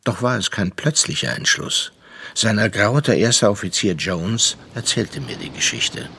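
An actor in a German radio drama playing a worldly, sardonic narrator, telling his story in a measured, reflective way. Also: fairly low pitch, creaky, sharp tone, slightly rough, full character voice. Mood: wry, reflective. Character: sardonic, worldly gentleman narrator recounting a sea inquiry.

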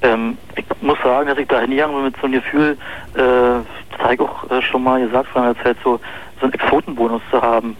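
A man's voice: medium pitch, flat delivery, fast, a little hiss or hum. A young man with a Berlin accent calling in to a radio show, talking hesitantly with many fillers. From East Berlin. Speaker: caller. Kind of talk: phone-in chat. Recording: muffled phone-in radio line.

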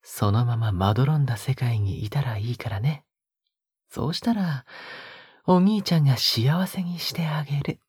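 Breathy, whispering young man, played by an actor. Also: mid-range voice, normal speed, darkish tone, no room sound. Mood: tender, coaxing. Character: soft-spoken, doting, possessive young man posing as big brother. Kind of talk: intimate close-mic audio drama acting.

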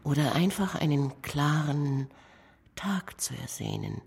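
Very deep, slow, creaky-voiced woman reciting. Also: lively intonation. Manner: measured, fragmented phrases, at times whispered. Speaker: performer in an art radio drama. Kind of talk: poetic radio-play recitation. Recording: voice under loud instrumental music. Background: loud instrumental music.

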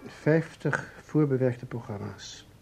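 A man playing a cool, exacting investigator in a radio drama, speaking in a measured, precise way with a husky tone. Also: medium pitch, exaggerated voice. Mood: probing. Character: probing, methodical male investigator, cool and exacting. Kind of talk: Dutch radio drama acting.

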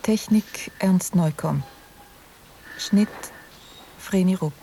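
A woman's voice: fairly low pitch, very slow, dark tone, slight background noise. A woman formally reading out scripted credits as an announcer.

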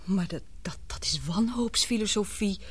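A woman playing an emotional young English daughter and fiancée in a radio drama, earnest and expressive, with a breathy voice. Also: mid-range voice, normal speed, no echo, lightly acted. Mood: bewildered, protesting.

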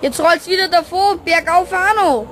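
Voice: very high voice, normal speed, a bit bright, a little hiss or hum. A young performer playing a curious, bossy schoolboy in a children's radio play, speaking plainly and cheekily with a Bavarian accent. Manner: plain-spoken, cheeky, boyish.

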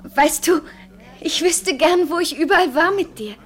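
A woman's voice: very high voice, normal speed. An actress playing a naive, tipsy, wistful young woman in a radio play, speaking in a plaintive, searching manner.

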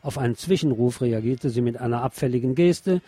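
A man presents the news on the radio, reading written reports aloud and dropping in brief asides. His voice is on the bright side and rather husky, at an ordinary pitch. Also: fairly quick, no room sound.

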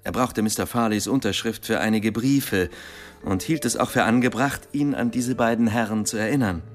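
Lowish-voiced police inspector played by an actor, music behind. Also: male voice, moderate pace, close to an everyday voice. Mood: official, matter-of-fact. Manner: matter-of-fact, official reporting. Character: brisk, factual male police inspector reporting a death. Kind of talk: German radio play acting.

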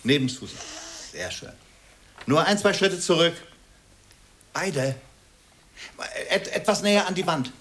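Höflicher Maler, lebhaft modulierte Stimme. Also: male voice, fairly high pitch, expressive, unhurried, long pauses, fairly bright tone. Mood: focused directing. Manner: polite, precise, slightly hesitant instructions. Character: courteous painter arranging his sitters, hesitant, exacting. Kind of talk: German radio play acting.

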